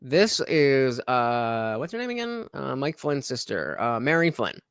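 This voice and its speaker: A man's voice: medium pitch, huge pitch swings, a little slow, a bit bright, clear, resonant tone, no echo, clean studio sound. A man hosting a live stream, chatting casually and rambling with many hesitations while he reacts to a clip.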